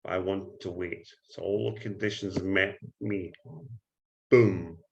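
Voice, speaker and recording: A Scottish man giving a trading tutorial, talking up his strategy in an excited salesman patter and often calling out "ladies and gentlemen.". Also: sits low, slow, creaky, muffled recording. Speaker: forex trading instructor. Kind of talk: screen-share trading tutorial.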